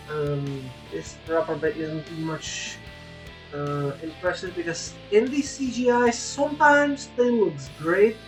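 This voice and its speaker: A woman who is not a native English speaker, giving an unscripted game review in a halting, hesitant way with broken grammar. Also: lowish voice. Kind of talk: unscripted review commentary over gameplay. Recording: roomy echo, distant microphone. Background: acoustic guitar music.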